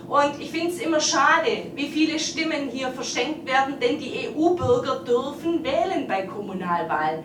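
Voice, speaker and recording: A woman giving a formal, earnest welcome speech as a local official opening an exhibition. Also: high voice. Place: event hall. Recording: echoey hall, distant, noisy.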